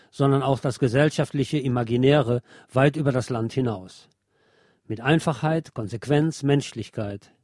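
A man working as a radio host, reading a written obituary aloud in a measured, deliberate way. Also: medium pitch, darkish tone, dry recording, clean studio sound. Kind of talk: read-aloud scripted obituary narration.

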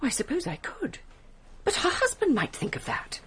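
An actress playing a well-meaning, flustered spinster with an English accent, gushing and dithering in a radio drama. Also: female voice, high-pitched, huge pitch swings, normal speed, a crowd in the background. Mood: fussing.

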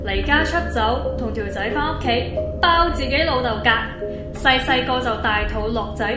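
A woman's voice: high-pitched, moderate pace, lightly acted. An actress playing a vengeful, bossy young ghost woman in a radio drama, speaking Hong Kong Cantonese with scornful taunts. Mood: scornful, threatening. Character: vengeful, brash young female ghost haunting a car. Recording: echoey voice over loud music.

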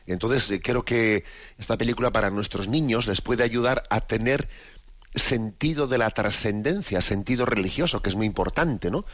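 Older priest rambling thoughtfully, lowish voice, dry dull audio. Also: male voice, moderate pace, fairly bright tone, dry recording. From Spain. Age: 60s. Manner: rambling and reflective, many fillers and restarts. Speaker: bishop. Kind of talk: informal spoken reflection.

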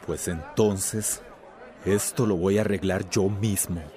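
An actor playing a domineering, impatient company boss in a radio drama. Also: male voice, deep voice, steady tone, slow, loud music behind the voice. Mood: angry, impatient.

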